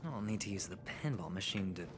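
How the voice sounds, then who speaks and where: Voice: sits low, animated, fast talker, background noise. An actor playing a curious, wry adventurer musing aloud in scripted video game dialogue. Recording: game music behind the voice.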